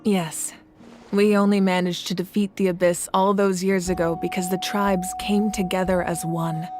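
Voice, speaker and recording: A woman playing a composed, grave ruler in a video game, speaking in measured, deliberate lines. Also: lowish voice, level tone, natural voice. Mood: solemn, steady. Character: solemn, composed woman war-god ruler of a nation. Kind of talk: video game voice acting, dramatic exposition. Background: game music.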